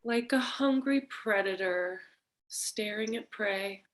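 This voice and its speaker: A woman playing a frightened, flirtatious femme fatale wife in a staged noir parody, with drawn-out melodramatic delivery. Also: medium pitch, close to an everyday voice. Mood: sultry, fearful. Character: frightened, seductive femme fatale wife, breathy damsel in distress.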